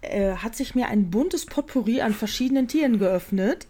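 A woman's voice: a little high, lively intonation, normal speed, mellow. A woman co-hosting a casual podcast, explaining things at length with many fillers. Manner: rambling, filler-heavy explanations. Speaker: podcast co-host. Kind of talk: casual chat.

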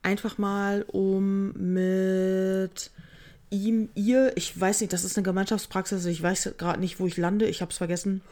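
A woman's voice: mid-range voice, flat delivery, unhurried, dark tone. A woman co-hosting a podcast, chatting casually and joking about herself. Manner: joking, chatty, self-mocking. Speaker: podcast co-host. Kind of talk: casual chat.

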